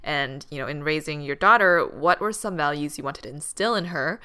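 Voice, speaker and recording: A woman's voice: deep voice, normal speed, sharp tone, smooth. A woman hosting a casual podcast, reacting to her guests and reading questions aloud. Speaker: podcast host.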